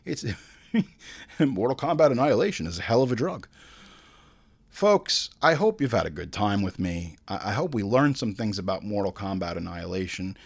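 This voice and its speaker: A man's voice: lowish voice, sing-song, moderate pace, vocal fry, breathy edge. A man hosting a casual, comedic review show, talking in an emphatic, exasperated, slangy and profane way. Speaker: host of a movie review show.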